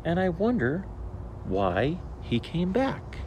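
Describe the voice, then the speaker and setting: A man reading a picture book aloud to children in an animated, theatrical way with deliberate phrasing, as a storyteller. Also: on the high side. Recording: steady background noise.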